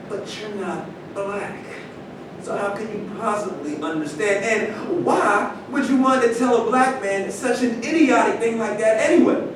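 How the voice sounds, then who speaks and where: A man acting in a staged play reading as an aggrieved, cynical famous former athlete, speaking conversationally. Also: unhurried. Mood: indignant. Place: live staged reading in a roomy indoor space. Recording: echoey room from far off, rumbling noise.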